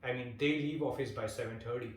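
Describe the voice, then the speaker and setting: A man in his twenties from India, chatting casually as an interviewee, relaxed and hesitant with lots of fillers and restarts. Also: mid-range voice, fast, recorded from a distance. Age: 20s. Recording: echoey room, voice off-mic.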